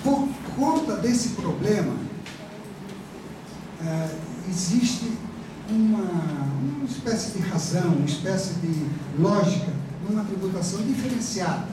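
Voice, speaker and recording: A man's voice: high-pitched, animated, unhurried. A man with a Brazilian accent lecturing a university class in a chatty, rambling way, full of fillers and tag questions. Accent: Brazilian Portuguese. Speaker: professor. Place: large classroom. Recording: echoey classroom from far off, noisy.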